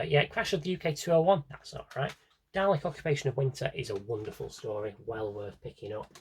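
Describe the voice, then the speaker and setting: A British man talks casually to viewers in an unscripted vlog style. His voice is mid-pitched and a bit raspy, with very animated intonation. He rambles along, correcting himself and dropping in dry, offhand asides. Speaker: video blogger showing an audio drama CD collection. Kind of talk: casual unscripted collection tour.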